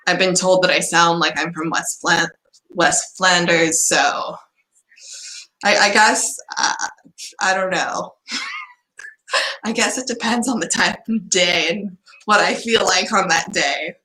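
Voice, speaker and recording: Chatty young woman, bright voice. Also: fairly low pitch, slow pace, pauses often, echoey room, poor audio. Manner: frustrated, rambling rant. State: losing her voice. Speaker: exchange student vlogger. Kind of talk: casual vlog chat.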